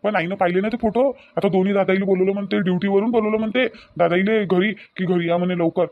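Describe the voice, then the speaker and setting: A man's voice: high voice, steady tone, fast, narrow, tinny audio. A man playing an agitated young newlywed husband in a family drama, repeating himself, with a Varhadi Marathi accent. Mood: tense. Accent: Varhadi Marathi of Vidarbha. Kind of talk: amateur family comedy-drama dialogue.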